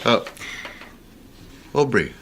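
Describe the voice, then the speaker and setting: A man playing a knowing, insinuating middle-aged uncle in a radio drama, speaking deliberately. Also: fairly bright tone, slight background noise. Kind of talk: Tagalog radio drama acting.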